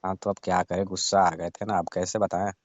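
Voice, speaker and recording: A man's voice: deep voice, flat delivery, fairly quick, no echo, natural voice. A man playing a sly young friend of the family who coaxes and insinuates in a casual phone conversation. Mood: insinuating. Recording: muffled lo-fi phone call recording.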